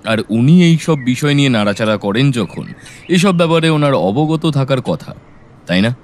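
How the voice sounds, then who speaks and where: A man playing a calm, analytical private detective in an audio story, reasoning and questioning in a measured way. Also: medium pitch, close to an everyday voice. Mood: probing.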